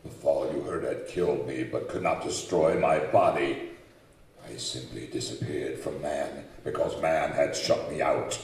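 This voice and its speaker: A lonely giant monster with an extremely deep voice and slow, solemn delivery. He is bitter and menacing, declaiming in old radio horror style. The sound is a very echoey hall, picked up from a distance. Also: darkish tone. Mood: bitter, brooding. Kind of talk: old-time radio horror acting before a live audience. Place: community center hall, live stage performance.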